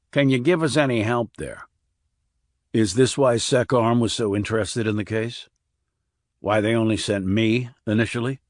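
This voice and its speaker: A man's voice: mid-range voice, no echo, studio quality. A man reading a thriller audiobook as a scripted narration, moving between narrative and dialogue.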